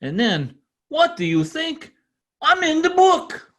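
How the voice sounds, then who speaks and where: A man playing a proud, excitable immigrant tradesman in an old-time radio comedy, with a foreign accent, a husky voice and halting, earnest English. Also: very high-pitched, exaggerated voice. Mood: elated, proud.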